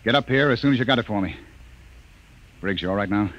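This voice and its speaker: An actor playing a stern, harried spaceship captain in old-time radio science fiction, with clipped, commanding delivery. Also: male voice, on the low side, fast talker, lightly acted. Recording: dull, noisy old radio recording.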